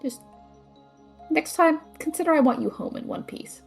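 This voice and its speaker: Spirited, teasing, loyal young adventuress for audio drama, played by a woman, animated, slightly high, faint music underneath. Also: moderate pace, gravelly. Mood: concerned, sincere.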